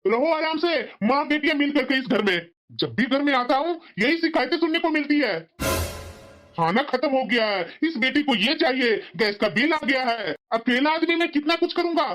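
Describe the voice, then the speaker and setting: A woman's voice: sits high, expressive, moderate pace, fairly bright tone, soft background music. A woman voicing a resentful, weary husband and father in a cartoon family drama, with a complaining manner. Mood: bitter, irritated. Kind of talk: Hindi moral-story cartoon dialogue.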